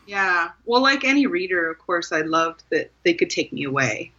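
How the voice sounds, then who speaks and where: A woman's voice: on the low side, big pitch swings, normal speed, sharp tone, muffled recording. A woman from Maryland, a guest author, talking thoughtfully and earnestly about her path to writing in an interview, with small laughs and restarts. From Maryland, USA. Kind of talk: reflective interview conversation.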